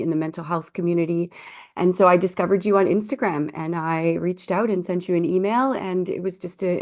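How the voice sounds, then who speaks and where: A woman in her forties with a lowish voice talks as a podcast guest. She sounds earnest and reflective. She speaks with a grateful warmth about her own path. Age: 40s. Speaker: recovery coach, guest. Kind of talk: casual interview chat.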